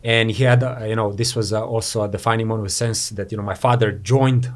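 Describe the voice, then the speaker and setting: A man's voice: on the low side, steady tone, fast talker. Rambling man from Croatia, says "you know". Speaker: podcast guest, entrepreneur. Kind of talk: casual interview chat.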